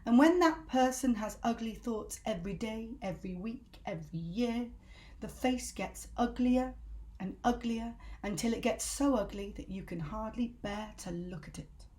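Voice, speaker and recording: A woman's voice: fairly high pitch, moderate pace, a little mellow. A woman reading a children's story aloud as a storyteller, with dramatic, emphatic delivery.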